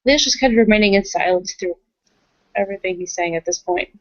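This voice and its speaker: A woman's voice: mid-range voice, brisk, no room sound, highs cut off. A woman playing in an improvised tabletop roleplay, speaking in character and narrating in a casual, understated way. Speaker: roleplay player.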